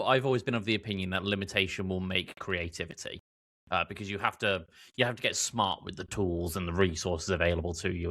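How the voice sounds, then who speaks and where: A man's voice: sits low, creaky, a bit bright. A man chatting casually as a podcast panellist, informal and emphatic in how he gives his views. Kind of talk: casual chat.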